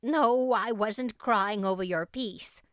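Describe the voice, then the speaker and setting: Lady doing a no-nonsense older farm woman in an audiobook, reserved but soft-hearted, clear voice. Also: mid-range voice, slow pace, no room sound, clean studio sound. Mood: wistful, lonesome. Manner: plainspoken, matter-of-fact. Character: practical, reserved older country woman, secretly tender.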